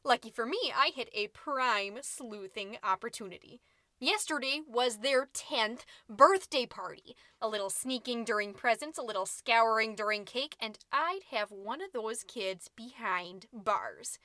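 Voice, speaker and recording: A self-important suburban mom, played by a woman, hosts her comedy podcast in a high, bright voice. She is perky and folksy, with fussy theatrical delivery. The sound is close and dry. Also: lively intonation, measured pace, dry recording. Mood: confiding, fussy. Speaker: podcast host. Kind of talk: scripted comedic monologue as a podcast.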